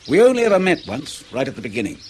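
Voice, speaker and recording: An actor playing a prickly, defensive field agent in a radio drama, speaking in a clipped, emphatic way. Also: male voice, on the high side, huge pitch swings, racing, darkish tone, slight background noise. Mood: irritated, emphatic. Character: defensive, prickly British male field agent under questioning. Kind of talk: naturalistic radio drama acting.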